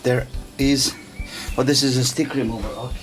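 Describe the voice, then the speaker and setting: A man who speaks English as a second language, hosting a casual hands-on tutorial in simple, halting step-by-step phrases. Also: medium pitch, steady tone. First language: not English. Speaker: DIY tutorial host. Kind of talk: casual hands-on how-to narration. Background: music.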